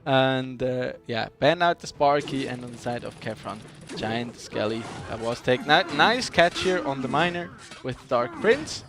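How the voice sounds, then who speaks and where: A man's voice: medium pitch, animated, unhurried, few pauses, a bit bright. A man commentating an esports game in a casual, conversational way with frequent hesitations. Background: background music.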